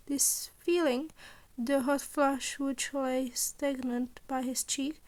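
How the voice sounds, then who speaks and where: High-pitched woman narrating a story. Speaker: podfic narrator.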